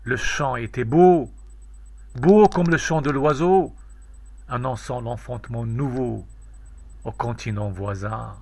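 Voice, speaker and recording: A man reciting poetry in a solemn, declamatory way, in measured phrases with pauses between them. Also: mid-range voice, a little mellow. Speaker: poetry reader.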